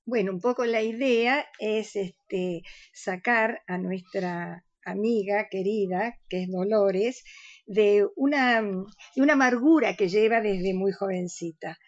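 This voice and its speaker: A woman's voice: mid-range voice, takes it slowly, no room sound, muffled recording. A woman guest, an actress, explaining things conversationally in a radio interview.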